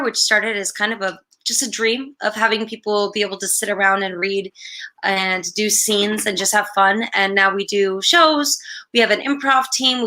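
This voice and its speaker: A woman's voice: mid-range voice, pushed and bright. A woman who co-founded a theater, introducing herself on an online panel in a breezy, casual manner. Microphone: video call.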